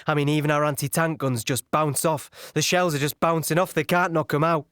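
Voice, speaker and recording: A man playing a weary young infantryman, recalling battle in a halting, conversational audio-play monologue. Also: fairly high pitch, steady tone, a bit bright, clean tone, no echo, studio quality, natural voice. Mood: agitated.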